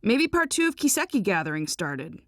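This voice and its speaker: A woman's voice: mid-range voice, sing-song, normal speed, no echo, clean studio sound, close to an everyday voice. A woman voicing an upbeat, boyish young son in an English anime game dub. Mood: casual.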